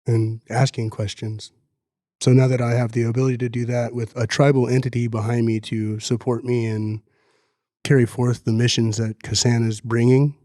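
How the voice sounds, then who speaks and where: A man's voice: mid-range voice, warm tone, dry recording, clean studio sound. A man in his thirties from Washington state, telling personal stories in an earnest, reflective way. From Washington state, United States. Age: 30s. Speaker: community food security worker. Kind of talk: personal storytelling in conversation.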